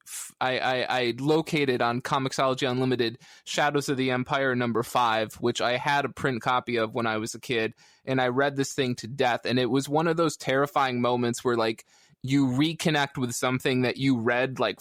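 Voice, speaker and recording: A man in his thirties chatting casually on a podcast as a panelist, telling things in an animated, joking way. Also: mid-range voice, no echo, clean studio sound. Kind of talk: casual comics podcast chat.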